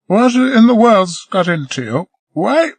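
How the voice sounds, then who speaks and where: A man's voice: high voice, very animated, unhurried, husky, no echo, pitched above the performer's own voice, exaggerated voice. A man putting on a spirited, insistent young woman. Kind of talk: audiobook reading.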